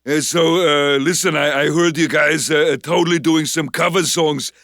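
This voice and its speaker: A man's voice: on the high side, fairly even intonation, moderate pace, gravelly, fairly bright tone, dry recording, studio quality. A man playing a hesitant, chatty male movie star in casual comedy skit dialogue. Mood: chummy, hesitant.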